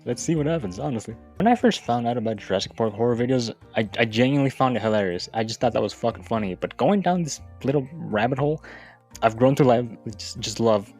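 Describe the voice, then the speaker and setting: A man's voice: medium pitch, darkish tone. A man narrating a video essay in a casual, informal way, with wry, sweary asides. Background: background music.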